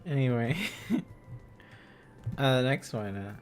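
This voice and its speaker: A man's voice: medium pitch, expressive, very slow, a little hiss or hum. A man hosting a casual livestream, thinking aloud as he studies, in a rambling, silly, exclaiming manner. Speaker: livestream host.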